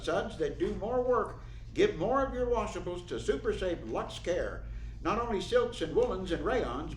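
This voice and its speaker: An actor playing a polished 1940s radio announcer, who delivers sponsor pitches and dramatic narration. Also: male voice. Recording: roomy echo, faint noise.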